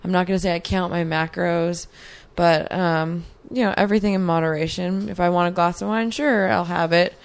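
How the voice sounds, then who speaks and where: A woman's voice: fairly low pitch, steady tone, moderate pace, vocal fry, few pauses, smooth. A woman in her mid-thirties, a guest answering interview questions in a reflective, earnest way with many fillers. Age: 30s. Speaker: podcast guest, athlete.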